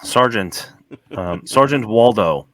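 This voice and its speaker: A man's voice: lowish voice, lively intonation, slow. A man on a podcast panel, joking casually with friends. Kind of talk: casual banter.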